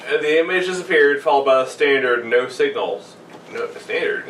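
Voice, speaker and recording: A man's voice: mid-range voice, lively intonation, moderate pace, a bit bright, far from the mic. A man co-hosting a podcast, joking and giggly as he chats casually and reads stories aloud. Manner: joking, giggly, interjects often. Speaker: podcast co-host. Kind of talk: casual banter and creepypasta reading. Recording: roomy, off-mic, noisy background.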